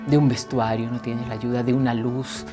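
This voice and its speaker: A woman's voice: a bass voice, level tone, normal speed, over music. A woman speaking reflectively in an interview about her craft.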